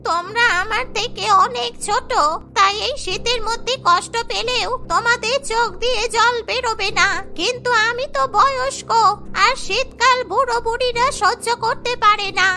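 A woman's voice: very high-pitched, normal speed. A woman voicing a frail, kindly, sorrowful elderly grandmother in a cartoon, speaking plaintively. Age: older. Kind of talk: Bengali cartoon story voice acting. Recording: background music under the voice.

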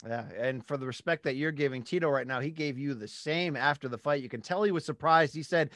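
A man in his forties hosting a sports podcast, recapping and asking questions with emphatic, animated delivery into a close studio mic. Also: fairly high pitch, brisk, no echo. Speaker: podcast host. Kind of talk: sports talk recap and interview. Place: small home studio room. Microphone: close studio microphone on boom arm.

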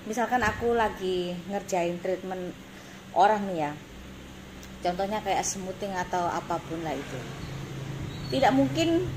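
Vlogger, female, East Javanese accent, mid pitch, blunt and emphatic casual explainer, noisy phone audio. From Indonesia. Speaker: beauty salon owner and course teacher. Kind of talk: casual vlog talking to camera. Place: small indoor salon office. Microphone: phone at arm's length. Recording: noisy phone recording with room ambience.

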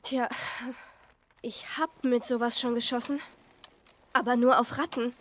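A woman's voice: a little high, little pitch movement, measured pace, a little hiss or hum, natural voice. A woman voicing a feisty, stubborn teenage girl in a dramatic video game, blunt and cheeky. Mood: tentative.

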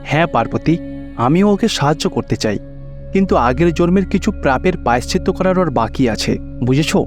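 A man's voice: a little high, animated, a little fast, faint music behind, voice pushed down, natural voice. Man playing a solemn, patient god. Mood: solemn, compassionate. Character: calm, compassionate male god Shiva, wise and patient.

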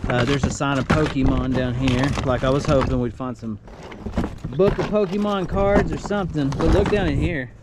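A man's voice: medium pitch, moderate pace. Chatty man, noisy background. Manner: chatty, excitable, talks to viewers as y'all. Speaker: storage unit reseller host. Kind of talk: casual unboxing vlog. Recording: loud background noise.

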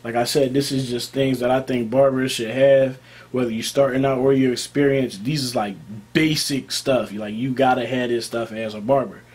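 A man's voice: medium pitch, fairly even intonation, normal speed, a little mellow, breathy edge. Casual American man talking barber gear. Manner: informal, emphatic, repeats key points. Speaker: barber and video host. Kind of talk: casual product talk to camera.

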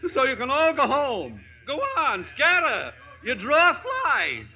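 An actor playing an officious but soft-hearted palace gatekeeper in a 1950s radio comedy style, emphatic in delivery. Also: male voice, very high-pitched, huge pitch swings, slow pace, full character voice. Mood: blustering. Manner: blustering, emphatic.

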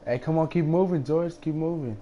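A young man talks through a team game in a mellow, dark tone over background noise. He is bossy and slangy, swears freely and directs his teammates casually. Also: a little high, fairly quick, lo-fi sound. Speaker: video game streamer. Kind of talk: casual team gaming chat. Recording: noisy game voice chat.

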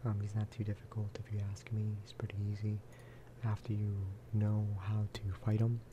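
A man giving soft-spoken ASMR commentary over a game, casual and rambling. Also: on the low side, monotone, moderate pace, noisy background. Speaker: gaming video creator.

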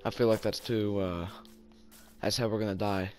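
A man's voice: on the low side, fairly even intonation, normal speed, smooth, faint music behind. A young man with a Southern US accent, rambling and joking through casual gameplay commentary while tired. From southern United States. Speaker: gaming YouTuber.

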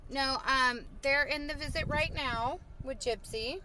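A woman's voice: high voice, measured pace, noisy background. A woman in her thirties chatting casually on a live stream from a parked car, reading viewer comments with lots of fillers, recorded on a phone at arm's length. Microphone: phone held at arm's length.

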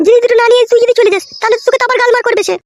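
A man voicing a bossy, snappish middle-aged village mother in a cartoon, scolding with a heavily pitched-up voice. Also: steady tone, rapid-fire, a little hiss or hum. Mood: insistent. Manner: scolding, insistent. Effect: extremely pitched-up cartoon voice.

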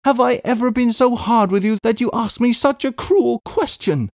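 A male reader plays a tearful, devoted Englishwoman from a classic storybook. The voice is lifted high and plaintive, lamenting every phrase with wounded affection in an English accent. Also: moderate pace, a little mellow, no echo, studio quality. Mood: anguished, tearful. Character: tearful, loving middle-aged Englishwoman, dramatically wounded sister. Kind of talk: audiobook character voice.

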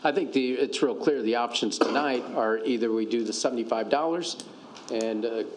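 A middle-aged man chairing a council meeting, briskly calling on speakers and summing up options. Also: medium pitch, slight background noise, poor audio. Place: council chamber. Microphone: desk microphone.

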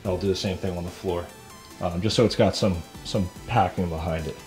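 A man's voice: low voice, fairly even intonation, fairly quick, a little mellow, faint music behind. A man in his thirties talking casually to camera in a kitchen, explaining his renovation work step by step. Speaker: host, DIY home renovator. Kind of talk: casual vlog talk while working. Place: indoors, kitchen under renovation. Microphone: handheld camera at arm's length.

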